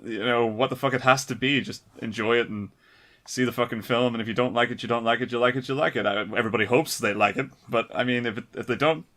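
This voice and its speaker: A man's voice: medium pitch, fairly even intonation, quick pace, sharp tone. A man co-hosting a casual film podcast, talking informally and swearing freely. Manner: freely profane. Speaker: podcast co-host.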